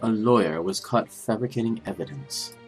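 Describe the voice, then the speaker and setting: A low, measured voice for a stern chief prosecutor played by a man. He is formal and dignified, choosing his words deliberately, as in a video game drama. Music plays underneath. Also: close to an everyday voice. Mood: grave. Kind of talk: scripted anime-game fan dub acting. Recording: music behind the voice.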